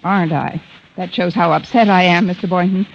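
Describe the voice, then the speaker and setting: An actress playing a wry, quick-witted schoolteacher with crisp, dry delivery in a mid-century radio sitcom. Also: female voice, fairly low pitch. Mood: flustered. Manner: dry, deadpan wisecracks, crisp diction. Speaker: English teacher character in a radio comedy. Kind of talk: 1940s-50s radio sitcom acting. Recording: muffled, noisy old radio recording.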